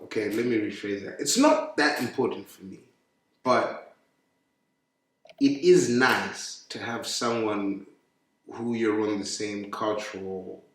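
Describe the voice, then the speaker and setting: A young man from Zimbabwe, chatting casually and animatedly, swearing and stumbling over repeated words. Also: on the low side. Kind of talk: casual chat. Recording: very echoey room, far from mic.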